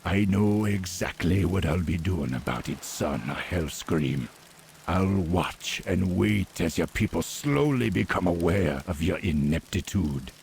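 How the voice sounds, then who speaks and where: An actor playing a grave, defiant troll leader in a game cinematic, speaking with a Caribbean accent in a deliberate, ominous manner. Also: male voice, low voice, animated, vocal fry, darkish tone, slight background noise, exaggerated voice. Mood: cold menace. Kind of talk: video game cinematic acting.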